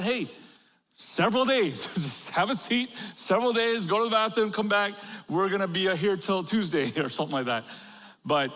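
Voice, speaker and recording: A man's voice: sits high, a bit bright. A middle-aged man, a pastor born in Korea and raised in America, giving a sermon full of humorous stories and chuckles at his own jokes. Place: large church auditorium. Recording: long reverb of a big hall.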